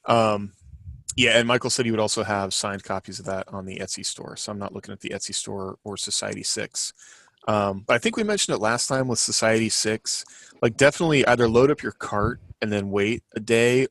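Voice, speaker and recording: Guy with a lowish voice doing casual podcast updates, pretty dry sound. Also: fairly bright tone, no room sound. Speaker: podcast co-host. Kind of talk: casual announcements and chat.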